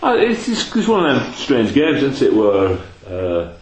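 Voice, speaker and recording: A man's voice: medium pitch, huge pitch swings, unhurried. A man hosting a casual football chat, rattling off stats and tag questions. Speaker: podcast host. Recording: echoey room, faint noise.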